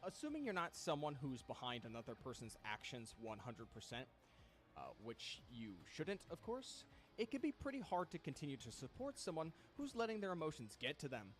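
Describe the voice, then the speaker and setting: A man reading scripted video-essay narration in a measured, explanatory way. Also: on the high side, huge pitch swings, soft background music.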